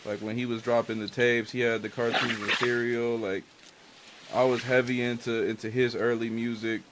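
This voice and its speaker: A man's voice: mid-range voice, flat delivery, normal speed, heavy background noise. Laid-back young man, casual and candid. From Dominican and Haitian family. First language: Spanish. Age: young adult. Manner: loose and candid, heavy on "like" and slang. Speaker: guest artist and engineer. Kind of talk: casual interview chat.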